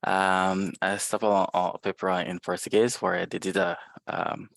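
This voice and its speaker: A man whose first language is French, giving a webinar presentation over a video call in a halting way with many fillers. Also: deep voice, little pitch movement, gravelly, a bit bright, dry recording, studio quality. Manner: hesitant, many filler sounds. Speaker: guest presenter, librarian.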